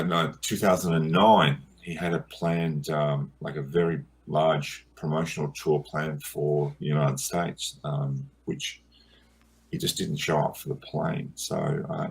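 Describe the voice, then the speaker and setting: A man's voice: very deep voice, gravelly, breathy edge. A man answering questions about his documentary as its director, in a hesitant way full of ums and repeated words. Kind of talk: interview answers.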